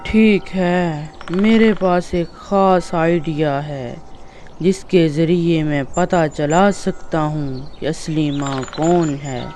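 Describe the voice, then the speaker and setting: A woman voicing a wise male hawk king in a cartoon story, speaking calmly and deliberately. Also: sits low, faint music behind. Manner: calm and deliberate.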